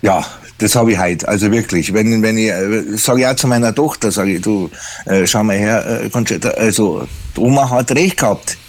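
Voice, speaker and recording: A man's voice: lowish voice, moderate pace. Middle-aged man on the phone. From Germany. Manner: hesitant, many fillers and restarts. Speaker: caller. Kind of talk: casual phone chat. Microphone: phone line.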